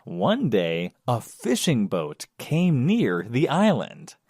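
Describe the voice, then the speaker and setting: A man narrating a children's story in a measured, expressive storytelling manner. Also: medium pitch, a little hiss or hum.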